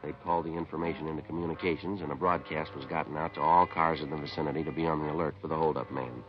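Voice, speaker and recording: An American actor playing a stoic, businesslike police detective in a 1950s radio drama, terse, polite and deadpan. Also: male voice, rumbling low, very fast, music in the background. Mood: deadpan narration. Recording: dull 1950s radio recording.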